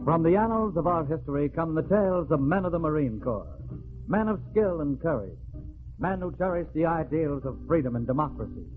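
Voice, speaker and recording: A man with an American accent announcing in a formal, declamatory, scripted 1940s radio style. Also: on the high side. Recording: tinny vintage radio recording. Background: loud band music.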